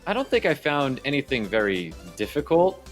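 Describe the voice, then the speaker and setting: A man with a medium-pitched voice gives casual interview answers as an esports caster. Music plays behind his conversational talk. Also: big pitch swings, normal speed.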